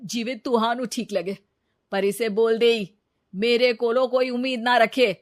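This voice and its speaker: A woman's voice: a little high, fairly even intonation, measured pace, natural voice. A woman voicing a betrayed, unforgiving young husband in a cartoon tale, stern and indignant, with a Punjabi accent. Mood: angry.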